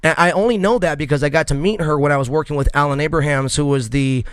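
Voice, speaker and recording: A man's voice: a little high, fast talker, smooth, no echo. A man in his thirties hosting a podcast interview, talking casually and rambling with many fillers and restarts. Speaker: podcast host.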